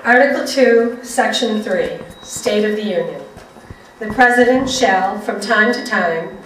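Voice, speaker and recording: A woman's voice: mid-range voice, a little slow. A woman giving a formal public reading of a legal document as an invited speaker. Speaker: invited guest reader, city commissioner. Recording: echoey event, distant mic, faint noise.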